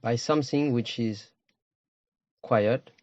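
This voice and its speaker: Low-voiced man guiding a meditation. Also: a little mellow, no room sound, dull audio. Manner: slow, pausing, short phrases. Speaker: meditation teacher. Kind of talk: guided meditation.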